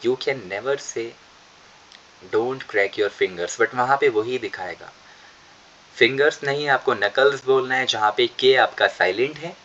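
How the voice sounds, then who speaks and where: A man with an Indian English accent runs an online spoken English class, his voice bright and forward. He says his model sentences slowly and with care. The sound is dull and lo-fi, like audio from an online call. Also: medium pitch, a little hiss or hum. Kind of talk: online class teaching, mixing Hindi and English. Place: online session.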